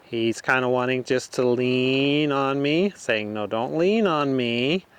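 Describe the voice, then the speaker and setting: A man's voice: mid-range voice, slow, clear, resonant tone. A man working as a horse riding instructor, informally explaining each step while he demonstrates from the saddle.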